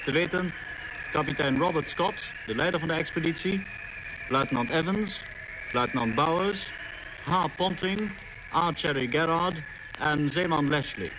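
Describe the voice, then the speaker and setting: A man's voice: fairly high pitch, a little slow, noisy background. A man announcing and narrating a scripted 1940s radio programme, captured on an old disc recording.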